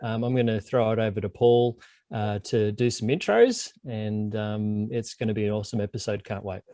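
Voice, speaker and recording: A man's voice: fairly low pitch, level tone, moderate pace, warm tone, dry recording, clean studio sound. A man co-hosting a podcast, opening the show hesitantly with fillers over a video call. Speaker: podcast co-host. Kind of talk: casual show intro.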